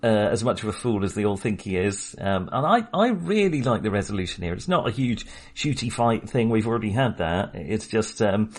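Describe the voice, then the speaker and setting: A man co-hosting a podcast, chatting about a film in a wry, animated way. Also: lowish voice, brisk, hardly any pauses. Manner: chatty, wry, animated when making a point. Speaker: podcast co-host. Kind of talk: casual film discussion.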